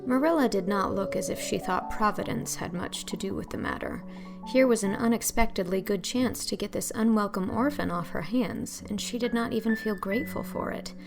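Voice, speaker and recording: A woman's voice: mid-range voice, normal speed, vocal fry, music in the background. A woman narrator reading a scripted storybook audiobook.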